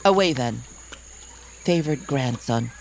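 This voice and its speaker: Slow, solemn old elder. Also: male voice, mid-range voice, animated, a little hiss or hum. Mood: fond, solemn. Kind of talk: audio drama acting.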